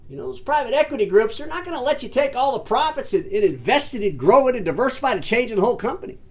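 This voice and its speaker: A man hosting his own industry commentary show, talking straight to his audience in an emphatic way, calling them folks and ladies and gentlemen, often repeating words and restarting sentences. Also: very high-pitched, expressive, fast talker. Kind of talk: solo commentary to camera.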